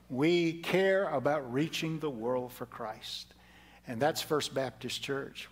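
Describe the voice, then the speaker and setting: An older male pastor delivers a sermon on a headset mic from a church stage. His voice sits a little high for a man, and he preaches earnestly, repeating key phrases to drive them home. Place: large church sanctuary stage at a lectern.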